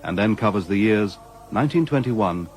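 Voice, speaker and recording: A man giving a scripted radio drama announcement in a measured, formal manner. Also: lowish voice, lively intonation. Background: music.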